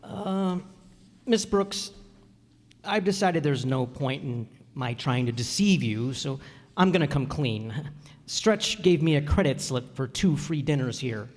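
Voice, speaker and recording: With the reverb of a hall around him, a man portrays a mild-mannered, earnest gentleman. He speaks politely, in the acting style of old radio comedy. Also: medium pitch, animated, measured pace. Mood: sheepish, earnest. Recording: echoey hall stage recording.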